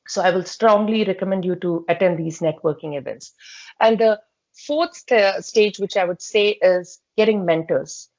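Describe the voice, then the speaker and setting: A woman, an interview guest earnestly laying out job-search advice step by step, pausing often. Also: lowish voice, darkish tone, no room sound. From India. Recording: dry, muffled lo-fi audio.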